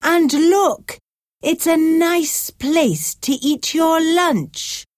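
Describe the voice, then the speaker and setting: A woman's voice: very high-pitched, extremely slow, no room sound, clean studio sound, pitched above the performer's own voice, exaggerated voice. A woman voicing a chorus of bees asking to spare the tree, in a lightly raised, coaxing voice. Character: busy friendly bees in chorus. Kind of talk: storybook reading for pupils.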